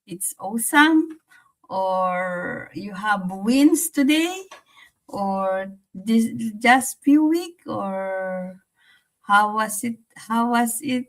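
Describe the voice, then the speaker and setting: A woman from the Philippines with a Filipino accent hosts a casual, reflective livestream, talking haltingly and repeating her phrases. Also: medium pitch, expressive, poor audio. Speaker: livestream host.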